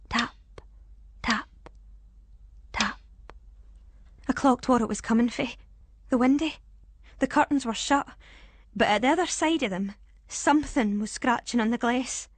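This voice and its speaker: A woman with a Scottish accent narrating a first-person ghost story in a suspenseful, expressive way. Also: fairly high pitch, husky, dry recording.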